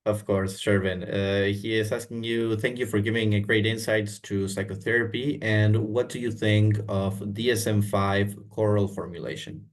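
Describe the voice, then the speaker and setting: Low-voiced hesitant moderator man. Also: fairly even intonation, normal speed, hardly any pauses. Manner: hesitant, many fillers. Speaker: webinar moderator.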